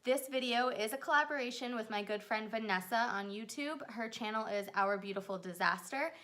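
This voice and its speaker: A woman's voice: fairly high pitch, fairly quick, bright, forceful tone. Chatty young woman vlogger. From New York. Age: 20s. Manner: chatty, rambling, with fillers. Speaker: YouTuber. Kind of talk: casual get-to-know-me vlog.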